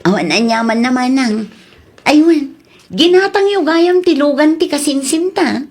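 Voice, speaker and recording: A woman's voice: high voice, expressive, unhurried, a little mellow, slight background noise. A woman playing a nosy, sceptical younger neighbour in an Ilocano comedy radio drama, with an Ilocano Filipino accent. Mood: chatty.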